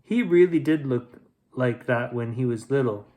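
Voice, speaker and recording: A man's voice: mid-range voice, normal speed. A man hosting a bedtime story, reading to children expressively and playfully. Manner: expressive, with playful repeated sound effects.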